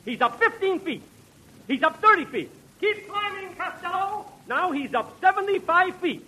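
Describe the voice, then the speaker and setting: An actor playing a pompous crackpot professor in 1940s radio comedy, fussy and mock-learned, on an old broadcast. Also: male voice, extremely high pitch, lively intonation, moderate pace, bright, forceful tone, heavily acted. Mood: excited, alarmed. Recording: noisy vintage radio broadcast.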